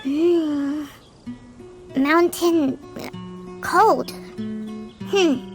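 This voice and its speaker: Squeaky tiny fairy companion. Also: female voice, very slow, over music. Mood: puzzled. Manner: refers to self in third person, squeaky. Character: tiny childlike fairy companion, chirpy and cheeky. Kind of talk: video game character acting.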